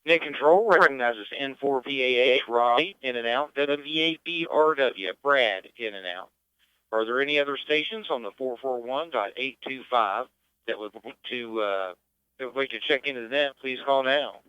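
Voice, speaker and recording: A man's voice: medium pitch, moderate pace, sharp tone, smooth, dry recording. A middle-aged American man hosting a ham radio net in a plain-spoken, folksy, casual way. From West Virginia, United States. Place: amateur radio transmission. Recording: slightly dull, dry radio-net audio.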